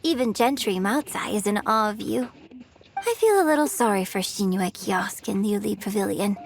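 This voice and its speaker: A woman's voice: mid-range voice, animated, moderate pace, close to an everyday voice. A woman voicing a famous, courteous young female opera performer in a video game, composed and gracious. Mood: relieved, grateful. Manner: courteous, composed, apologetic phrasing.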